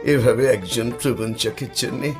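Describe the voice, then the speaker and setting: A man playing an awestruck, sorrowful poet haunted by a ghost, narrating an audio horror drama in a halting, dramatic way. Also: mid-range voice, fairly even intonation, close to an everyday voice. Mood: stunned, sorrowful. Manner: halting, breathlessly dramatic, repeating phrases. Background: violin music.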